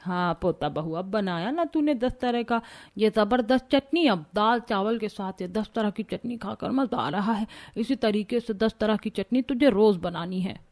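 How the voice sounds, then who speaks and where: A woman's voice: mid-range voice, animated, moderate pace, a little mellow, lightly acted. A woman voicing a demanding old grandmother-in-law in a family story, fussy and commanding. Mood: satisfied, bossy. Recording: music behind the voice.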